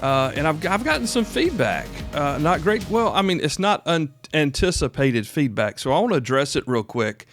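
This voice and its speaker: A man's voice: fairly high pitch, big pitch swings, normal speed, few pauses, soft background music. A man in his fifties hosting a solo podcast, talking casually and informally, repeating phrases for emphasis, close on the microphone. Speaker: podcast host.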